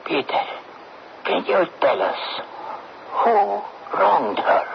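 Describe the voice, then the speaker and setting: Radio drama general: formal, courtly dead soldier, measured pace, medium pitch, muffled vintage recording. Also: male voice, big pitch swings, vocal fry, heavily acted. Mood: probing. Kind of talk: old-time radio drama acting. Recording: dull, noisy old radio broadcast.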